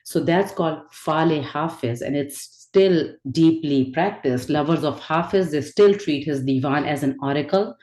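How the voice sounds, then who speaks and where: A woman whose first language is Urdu gives an earnest, reflective online lecture, pausing on fillers and restarting her sentences. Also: low-pitched, mellow, roomy sound, rough recording. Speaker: teacher of an online spirituality class. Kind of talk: informal lecture. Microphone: video call.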